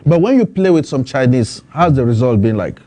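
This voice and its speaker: A man's voice: mid-range voice, expressive, fairly quick, dark tone. A man hosting a studio TV interview, asking formal, leading questions with fillers. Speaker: TV talk show host.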